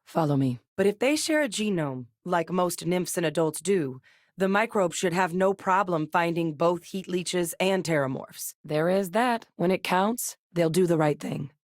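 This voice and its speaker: An actress playing a composed, earnest woman scientist with a military past in a video game, speaking in a measured, serious, articulate way. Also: on the low side, lively intonation, dry recording, clean studio sound, close to an everyday voice. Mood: earnest, focused. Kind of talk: scripted game dialogue, naturalistic acting.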